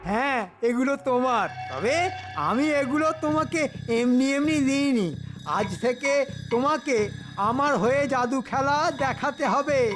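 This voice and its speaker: An actor voicing a scheming, easily frightened royal minister in a Bengali-style cartoon, with a theatrical, exclamatory delivery. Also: male voice, extremely high pitch, animated, slow pace, music in the background, heavily acted. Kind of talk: cartoon voice acting.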